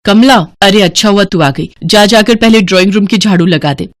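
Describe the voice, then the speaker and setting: A woman's voice: mid-range voice, fairly quick, natural voice. A woman voicing a busy young city wife and employer who gives instructions in a moral story cartoon. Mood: matter-of-fact.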